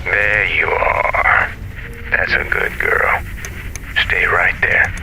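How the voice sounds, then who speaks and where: An actor playing a menacing, mocking space pirate in an audio drama, taunting and falsely coaxing over a comms radio. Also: male voice, low-pitched, extremely slow, vocal fry, noisy background, exaggerated voice. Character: menacing, mocking male space pirate hunting his prey.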